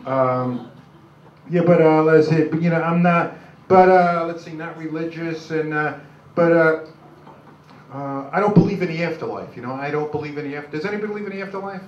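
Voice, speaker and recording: An older man with a New York accent does halting, self-deprecating, rambling stand-up comedy. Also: a little high, recorded from a distance. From Brooklyn, New York, USA. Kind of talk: live stand-up comedy, crude jokes. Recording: dull, noisy, echoey club recording from afar.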